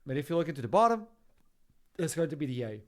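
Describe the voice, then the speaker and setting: Excitable esports caster. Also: male voice, on the high side, fast talker. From Portugal. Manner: excitable, builds to shouts at big plays. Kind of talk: play-by-play esports commentary.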